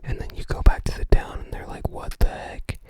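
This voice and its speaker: An ASMR creator whispering casual, musing commentary on a game guide, often tentative while recalling details. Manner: unhurried, musing, tentative recollection.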